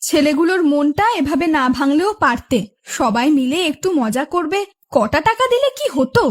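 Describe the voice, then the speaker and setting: Woman playing a very high-pitched, nagging cartoon wife. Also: expressive, moderate pace. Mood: reproachful. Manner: dramatic, complaining, taunting. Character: nagging, melodramatic wife of a stingy husband. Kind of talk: Bengali cartoon voice acting.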